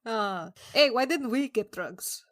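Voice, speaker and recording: Slow-paced, higher-pitched woman player. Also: lively intonation, fairly bright tone. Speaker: tabletop game player. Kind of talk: casual in-game banter.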